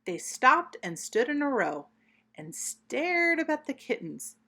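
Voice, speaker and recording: A woman reading a children's story aloud, expressive, deliberate and emphatic. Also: sits high.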